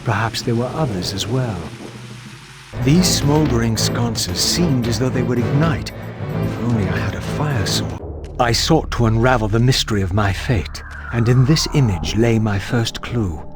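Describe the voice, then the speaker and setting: A man's voice: medium pitch, lively intonation, unhurried, close to an everyday voice. Brooding wraith antihero for a game, male actor: theatrical, formal, solemn narrator, scored with music. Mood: brooding, resolute. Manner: formal, solemn, archaic diction. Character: brooding undead wraith, eloquent male antihero seeking his fate.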